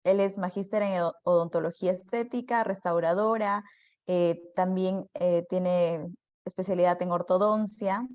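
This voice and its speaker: A woman hosting an event, politely introducing a guest speaker, slightly halting. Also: lowish voice, steady tone, a little mellow. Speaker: event host. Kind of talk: formal introduction. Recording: dull, lo-fi audio.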